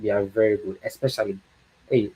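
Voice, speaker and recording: A man's voice: lowish voice, fairly even intonation, brisk, mellow, breathy edge, poor audio. A man from Ghana with a Ghanaian accent, speaking as a guest on a livestream talk, earnest and encouraging, often repeating words for emphasis.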